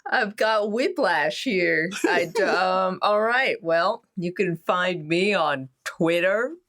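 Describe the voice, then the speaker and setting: A woman's voice: fairly low pitch, expressive, takes it slowly, a bit bright. A woman chatting casually and reactively as a player on a tabletop game podcast.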